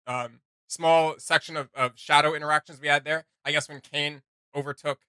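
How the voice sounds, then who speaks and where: A man reacts in casual, excitable chat with a very bright, forceful voice. It sits a little high and sounds close and dry, and his delivery is jokey. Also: normal speed, smooth, dry recording. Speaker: reaction video host.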